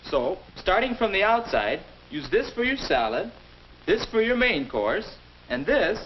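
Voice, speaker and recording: A man's voice: high voice, very animated, a little slow. An actor playing a confident young man of twenty-one, patiently teaching in the scripted style of a 1950s instructional film. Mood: brisk, encouraging. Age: young adult. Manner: didactic, crisp scripted reassurance. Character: confident 21-year-old man, patient mentor to his younger self. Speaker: mentor and explainer. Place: indoor film set. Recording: dull, noisy old 1950s film soundtrack.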